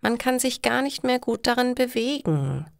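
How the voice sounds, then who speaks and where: Woman putting on a higher-pitched, vulnerable teenage girl. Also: sing-song, moderate pace, creaky, no room sound, clean studio sound. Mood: doubtful. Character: vulnerable, doubtful pregnant teenage schoolgirl. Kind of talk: acted dialogue in a learner's story.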